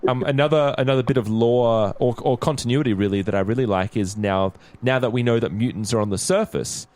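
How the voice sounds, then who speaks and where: A man's voice: mid-range voice, brisk, darkish tone. A man chatting casually as a podcast panelist, with some ambient noise in the recording. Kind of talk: casual chat.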